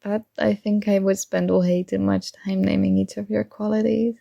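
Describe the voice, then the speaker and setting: A woman's voice: medium pitch, little pitch movement, moderate pace, vocal fry, dark tone, dry recording, lo-fi sound. A woman acting a young roommate who is shy, teasing and smitten with a friend, in a playful, conversational ASMR roleplay. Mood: shy, fond.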